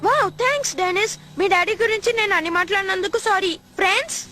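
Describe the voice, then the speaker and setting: A woman voicing an excitable young boy, a loyal best friend, in a Telugu cartoon dub. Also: very high-pitched, fast. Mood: earnest, apologetic. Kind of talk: Telugu-dubbed cartoon acting. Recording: loud music behind the dialogue.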